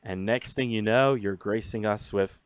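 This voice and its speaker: A man's voice: fairly low pitch, normal speed, clear, resonant tone, dry recording, dull audio. A man hosting a casual radio interview, hyped and crude, swearing freely and tripping over his words. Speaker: radio host.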